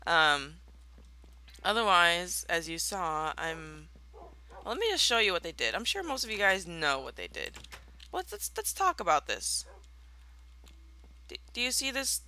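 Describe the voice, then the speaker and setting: A young woman giving casual commentary over a block-building game, slightly raspy from just waking up, playful and mock-villainous in a theatrical way. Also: on the low side, sing-song, unhurried, long pauses, sharp tone. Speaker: Minecraft let's player.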